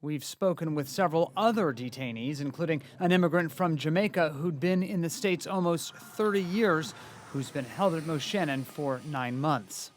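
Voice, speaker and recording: A male news reporter narrating an investigative report in a measured, earnest way. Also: on the high side, dry recording.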